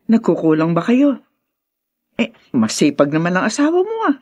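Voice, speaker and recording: A woman's voice: on the low side, big pitch swings, moderate pace, darkish tone, breathy edge. An actress with a Filipino accent playing a chatty, inquisitive older mother and doting grandmother in a radio drama. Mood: probing, surprised.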